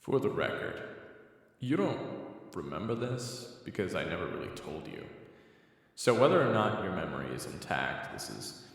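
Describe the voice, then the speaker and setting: A man's voice: sits low, sing-song, moderate pace, creaky, slightly rough, full character voice. A man playing a brooding, grumpy but caring hunter in an audio roleplay, speaking in a wry, exasperated, conversational way. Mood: wry, confiding. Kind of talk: intimate audio roleplay acting and storytelling.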